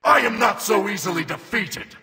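A man's voice: high-pitched, expressive, normal speed, gravelly, pushed and bright, big-room sound, exaggerated voice. A man voicing a proud, warlike armored mecha god of death for a video game, declaiming grandiose battle cries. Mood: triumphant, warlike. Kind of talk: video game character voice lines.

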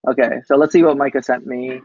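A man giving a casual live software demo on a team call, speaking haltingly and often tacking "basically" onto sentences. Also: mid-range voice, flat delivery, dry recording. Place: coworking space, on a group video call. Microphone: video call microphone. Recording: muffled video call audio.

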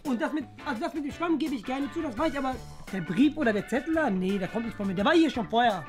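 Woman playing a cheeky, high-voiced schoolboy prankster. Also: animated, quick pace. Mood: protesting. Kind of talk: children's toy-play story acting. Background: music.

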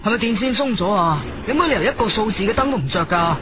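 A man's voice: sits high, expressive, fast. An actor playing an eager, fussy male home buyer in a Cantonese radio drama, musing aloud to himself. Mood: grumbling. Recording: music bed behind studio voice.

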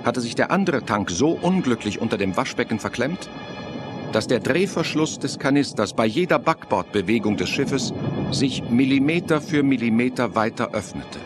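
Male horror audio-drama narrator, mid-pitched, dramatic read over prominent music. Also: animated, moderate pace. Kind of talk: dramatic scripted horror radio-play narration. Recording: loud music and sound effects behind.

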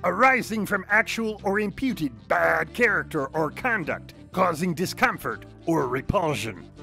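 A man voicing a sinister, gleeful cartoon villain who relishes wickedness, reading theatrically in drawn-out words. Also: sits high, expressive, gravelly, sharp tone, soft background music, voice pushed up, heavily acted.

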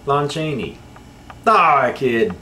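A man playing a dry, deadpan voodoo shaman who speaks with an American accent. His voice sits at a middle pitch, and he slips in jokes without changing his tone. There is faint noise behind him. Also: slow pace. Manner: deadpan, keeps joking "I kid". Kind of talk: amateur film acting.

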